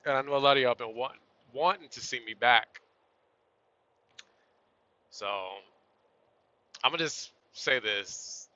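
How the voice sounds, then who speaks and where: A man driving a truck and chatting casually to his vlog audience, a little hoarse and losing his voice, with an easygoing, rambling manner. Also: medium pitch, slow, long pauses, pushed and bright, no echo. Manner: laid-back, chatty, southern-style y'all. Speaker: truck driver vlogger. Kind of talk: casual vlog talk to camera while driving. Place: inside a truck cab on the road. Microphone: phone or camera on a tripod. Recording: dull lo-fi sound.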